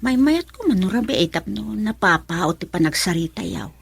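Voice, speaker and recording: A woman's voice: mid-range voice, animated, a little fast, creaky, breathy edge, no echo. An actress with a Filipino accent playing a nagging, scheming mother in a radio drama. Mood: pushy, insistent. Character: nagging, scheming mother pushing her son to marry.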